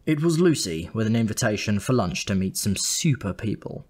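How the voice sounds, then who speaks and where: A man's voice: on the low side, lively intonation, normal speed, darkish tone, breathy edge. A man reading a comic first-person story as an audiobook narrator, in a wry, dry manner.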